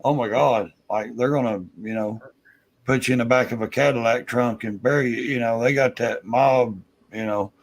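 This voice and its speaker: A man's voice: mid-range voice, fairly even intonation, normal speed, vocal fry. A man, hosting a casual chat in a laid-back, rambling way. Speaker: host.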